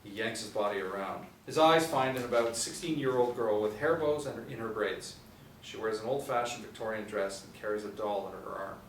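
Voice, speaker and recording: A man's voice: lowish voice, fairly quick. A man in his forties reading stage directions as scripted narration at a table read. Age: 40s. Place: small indoor room. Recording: echoey room, distant mic, background noise.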